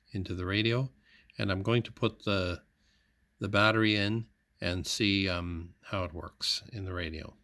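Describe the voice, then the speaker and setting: A man giving an unscripted how-to narration as a hobbyist, matter-of-fact and hesitant, often pausing mid-sentence. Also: fairly low pitch, gravelly, fairly bright tone, dry recording. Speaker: hobbyist electronics repair demonstrator.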